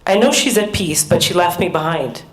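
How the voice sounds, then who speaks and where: A middle-aged American woman giving an earnest, measured personal talk as a conference speaker, with small restarts. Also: lowish voice, lively intonation. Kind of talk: personal talk at a research summit. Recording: echoey hall.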